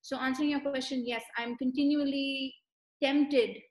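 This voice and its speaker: High-voiced woman on a video call. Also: fairly even intonation, normal speed. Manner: warm storyteller, lingering on striking details. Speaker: author being interviewed. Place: room with bookshelves, indoors. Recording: echoey room on a video call.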